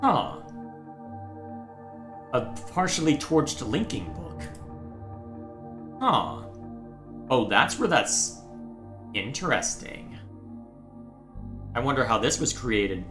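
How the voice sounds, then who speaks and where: A man from Texas talks through a puzzle game live, musing aloud at an easy pace. His voice sits in the middle range and rings off the walls of a roomy space, with soft music playing underneath. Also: mid-range voice, lively intonation. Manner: thinking aloud, musing and unhurried. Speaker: livestream host playing a game. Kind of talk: casual live gameplay commentary.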